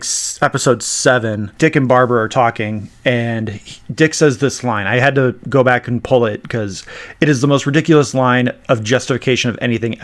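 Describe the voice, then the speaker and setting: A man with a mid-pitched voice hosts a casual podcast. He speaks in an emphatic, opinionated rant, sounding exasperated as he makes his points. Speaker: podcast host.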